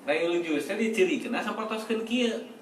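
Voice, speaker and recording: A man's voice: high voice, expressive, normal speed. Echoey, jovial West Javanese man, distant mic. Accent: Sundanese-speaking West Java. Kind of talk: casual explanatory group chat in Sundanese. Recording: echoey, distant mic, loud background noise.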